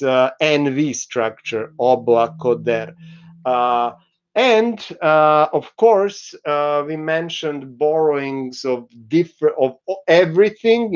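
A middle-aged man delivers a university lecture at an unhurried pace, pausing on fillers as he explains. His voice is smooth and clear, though the video call audio sounds dull and lo-fi. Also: on the high side, darkish tone. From Croatia. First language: Croatian. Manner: slow, explanatory, with frequent fillers. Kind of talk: recorded online lecture. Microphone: video call webcam.

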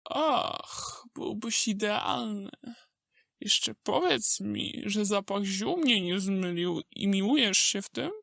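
Woman playing a slow, creaky old scheming wolf. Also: medium pitch, expressive, fairly bright tone, no room sound, studio quality, exaggerated voice. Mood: sly, appraising. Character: old scheming male wolf, drug dealer, smooth and contemptuous. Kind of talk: Polish amateur audio drama acting.

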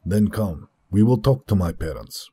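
A man acting an earnest, humble young man, a devoted son, in an audio drama, with a husky voice. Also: deep voice, lively intonation, normal speed, dark tone, exaggerated voice. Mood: earnest, respectful. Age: young adult.

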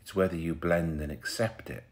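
A man leading a guided meditation in a soothing, unhurried way, pausing between short phrases. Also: low-pitched.

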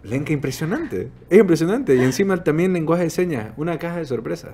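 A man, hosting a podcast interview in a casual, teasing way and laughing easily, speaking into a desk mic in a dark studio. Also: on the high side, very animated, brisk, a little hiss or hum. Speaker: podcast host. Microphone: desk mic on boom arm.

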